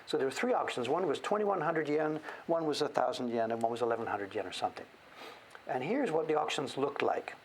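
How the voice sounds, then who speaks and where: Gravelly man chatting casually. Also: mid-range voice, lively intonation, a little fast, a little hiss or hum, poor audio. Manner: rambling, self-deprecating, laughs often. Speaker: show-and-tell host. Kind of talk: casual livestream chat.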